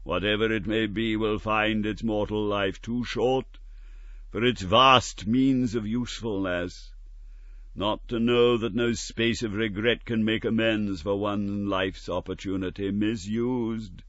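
A tormented chained spectre, put on by a man reading a storybook, who wails in slow, drawn-out cries. The voice climbs well above the reader's own. It sounds bright, dry and a little dull. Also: fairly low pitch, fairly even intonation, no echo, pitched above the performer's own voice. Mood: anguished. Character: wailing chained male ghost, anguished and solemn.

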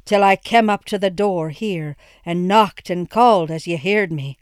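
A man's voice: high-pitched, measured pace, clean tone, no room sound, studio quality. A reader voicing a frightened middle-aged farmer, a father who speaks in a grave, fearful rustic brogue.

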